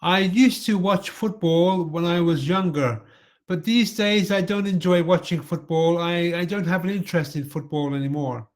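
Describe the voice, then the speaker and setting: A man from London with a high-pitched voice leads a friendly practice conversation. He speaks politely and steadily, asking simple follow-up questions. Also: moderate pace. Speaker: English conversation partner. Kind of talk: casual conversation.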